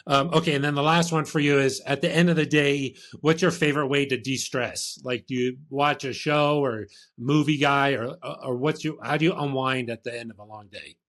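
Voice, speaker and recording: A man's voice: mid-range voice, steady tone, normal speed, hardly any pauses, fairly bright tone. A man hosting a sports podcast, asking questions in an easygoing way and agreeing often. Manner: easygoing, laughs along, many short agreements. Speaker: podcast host. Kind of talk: casual interview.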